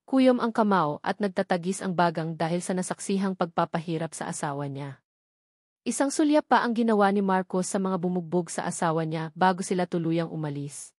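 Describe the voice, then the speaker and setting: A woman's voice: mid-range voice, darkish tone, no room sound, studio quality. A woman narrating a dramatic novel from a script as an audiobook reader.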